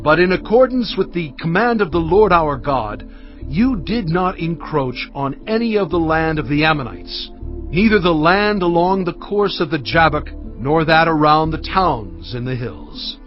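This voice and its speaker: A man as Moses, earnest and measured. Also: fairly high pitch, lively intonation, lo-fi sound, faint music behind. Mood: solemn. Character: Moses, an aged leader recounting conquests. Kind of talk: dramatised scripture reading.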